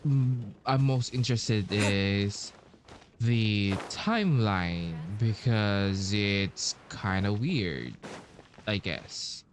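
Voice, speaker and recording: A man's voice: on the low side, a little hiss or hum. A man giving casual gaming commentary, hesitant and muttering, with some swearing. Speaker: game streamer. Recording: game music behind voice. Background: game music.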